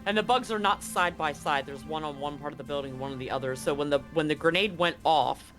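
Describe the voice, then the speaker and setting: A game master running a live tabletop roleplaying game, narrating and bantering excitably and repeating phrases for emphasis. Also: mid-range voice, fast talker. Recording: music behind the voice. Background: background music.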